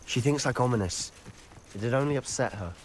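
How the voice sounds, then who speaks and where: A man's voice: mid-range voice, normal speed, a little hiss or hum, lightly acted. A young man voicing a brooding, fiercely protective teenage wizard boy in a video game, intense and defiant. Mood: worried. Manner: intense, headstrong. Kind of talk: dramatic video game acting.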